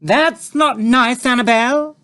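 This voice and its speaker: Man doing a shrill, snobbish mother. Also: slow pace, bright, forceful tone, exaggerated voice. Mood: shrill fawning. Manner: shrill, fawning, snappish. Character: snobbish middle-aged woman, social climber.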